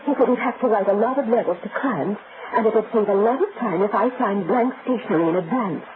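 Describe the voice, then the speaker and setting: A woman's voice: mid-range voice, quick pace, close to an everyday voice. An actress playing an anxious, lonely newlywed wife in her thirties, earnest and plaintive in old-time radio drama style. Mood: anxious, defensive. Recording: muffled, noisy vintage radio recording.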